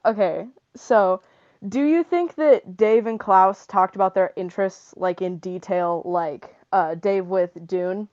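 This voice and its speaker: A woman's voice: mid-range voice, darkish tone. Woman, bubbly, giggly, lots of "like", slightly dull online audio. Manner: giggly, bubbly, heavy use of "like". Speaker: fan asking a question. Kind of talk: casual chat.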